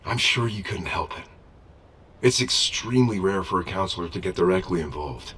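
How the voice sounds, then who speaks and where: An actor playing a loyal, earnest military adjutant in a video game, speaking formally and respectfully. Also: male voice, sits low, animated, moderate pace, a bit bright, slightly rough, distant mic, full character voice. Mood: formal, dutiful. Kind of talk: scripted game dialogue.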